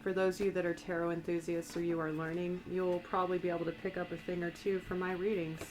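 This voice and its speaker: An American woman with a slightly raspy voice from a cold, giving a soft, meandering, conversational ASMR-style tarot reading. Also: lowish voice, little pitch movement, fast talker, low-quality recording. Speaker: tarot reader and astrologer.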